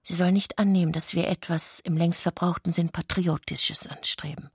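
Give the part of a self-important, idealistic society lady in a literary radio play. Her voice is low and husky, with some creak. She speaks formally and earnestly, with lofty conviction, in a dry, close recording. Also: steady tone, normal speed, a little mellow, no echo. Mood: earnest, solemn. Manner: earnest, high-minded, formal speech.

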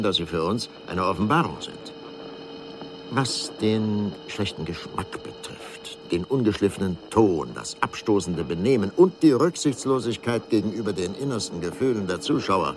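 An actor playing an indignant, pompous critic, speaking with deliberate formality in a radio drama. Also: male voice, on the low side, a little hiss or hum, natural voice.

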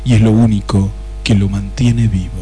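A man's voice: fairly low pitch, flat delivery, moderate pace, dark tone, faint music behind, close to an everyday voice. A man playing the wry, theatrical narrator of a detective spoof, reading scripted radio play narration with an Argentine accent. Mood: mock-solemn. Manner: theatrical, mock-solemn.

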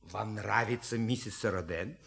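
A man playing a brash, nosy American journalist in an audio stage comedy, insistent, excitable and pedantic about facts. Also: fairly low pitch, normal speed, vocal fry, slight background noise. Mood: nosy, prodding.

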